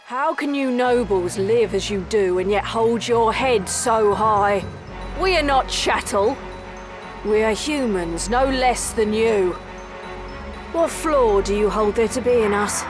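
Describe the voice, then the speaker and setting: An actress playing a proud young rebel fighter in a fantasy game, speaking in archaic, impassioned oratory under music. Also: female voice, medium pitch, measured pace, close to an everyday voice. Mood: bitter defiance. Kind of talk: dramatic fantasy game voice acting.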